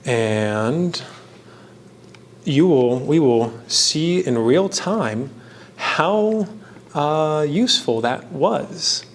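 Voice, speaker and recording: A man giving casual, rambling commentary as the host of a hands-on craft video, with a halting delivery that meanders and trails off between thoughts. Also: on the high side, animated, a little hiss or hum. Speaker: host of a painting and fan trivia video.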